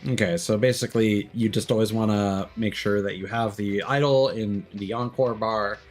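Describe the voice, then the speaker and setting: Low-voiced man rambling on a game stream. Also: steady tone, normal speed, faint music behind. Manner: rambling, thinking aloud. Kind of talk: casual livestream game commentary.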